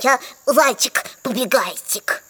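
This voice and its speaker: A woman's voice: a little high, slow pace, pushed and bright, breathy edge, no room sound, full character voice. A woman playing a small, skittish, friendly male hare in a children's audio fairy tale, eager and bouncy.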